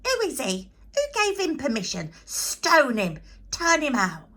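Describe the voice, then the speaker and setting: Angry storybook mob member, woman acting: raised pitch, indignant, hostile, shouted delivery. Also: wildly swooping pitch, a little slow, full character voice. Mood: outraged. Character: outraged, hostile men of a worshipping crowd.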